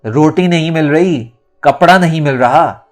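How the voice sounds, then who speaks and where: Narrator as male household tyrant for audio drama, scolding and indignant, pitched up, music beneath. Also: a little slow, close to an everyday voice. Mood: furious. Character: domineering, hot-tempered man of the house. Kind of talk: dramatic audiobook character acting.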